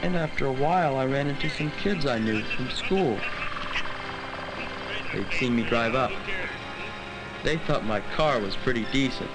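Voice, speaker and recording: A man's voice: medium pitch, a little slow, long pauses, natural voice, low-quality recording. A young male actor playing an earnest, regretful teenage boy narrating an old educational film. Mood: nostalgic, proud. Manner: earnest, wistful. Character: earnest, regretful teenage boy recounting his last day. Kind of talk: dramatic first-person educational film narration. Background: loud music.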